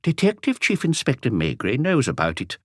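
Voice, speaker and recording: A man's voice: mid-range voice, wildly swooping pitch, moderate pace, fairly bright tone, husky, no echo, clean studio sound, voice pushed up, full character voice. A man voicing an odd, arch elderly little woman, coy and serenely stubborn, in an audiobook.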